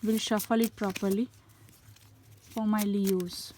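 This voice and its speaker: A woman's voice: mid-range voice, little pitch movement, a little mellow, slight background noise. A woman with an Indian English accent and a cold gives a casual tarot reading, with frequent fillers and restarts. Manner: halting, many fillers and restarts. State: has a cold. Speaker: tarot reader.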